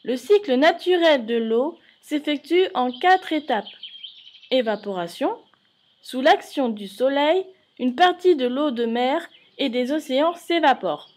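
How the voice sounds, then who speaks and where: A woman's voice: high voice, darkish tone. A woman reading scripted educational narration for children, explaining things and addressing the viewers directly.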